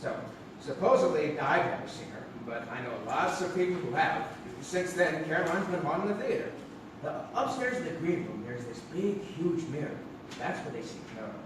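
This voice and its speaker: Nervous male actor as radio guest: casual, halting, slightly high voice, roomy stage sound. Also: sing-song. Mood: casual, gossipy. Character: local male actor, hesitant, superstitious interview guest. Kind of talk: casual radio-interview acting. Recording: echoey, noisy theatre recording from far off.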